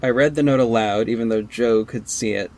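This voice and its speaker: A man acting as a guarded, sardonic costumed vigilante in an audio drama, measured and terse, with an exasperated edge. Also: medium pitch, darkish tone, close to an everyday voice. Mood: wry, brooding. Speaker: narrator and lead actor. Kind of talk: first-person audio drama narration and dialogue.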